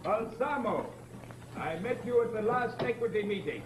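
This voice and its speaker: A sinister, grandiose mesmerist with pompous, formal diction from old radio horror. His slightly high voice swings widely in pitch over a faintly noisy recording. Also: lively intonation, moderate pace. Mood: stiff, formal. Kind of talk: old-time radio horror drama acting.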